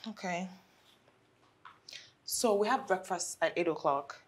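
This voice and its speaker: A woman's voice: on the low side, slow pace. An actress playing a demanding young wife who bickers over chores and talks down to her new cook. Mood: instructing. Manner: fussy, demanding. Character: young wife, fussy and status-minded. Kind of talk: scripted web drama.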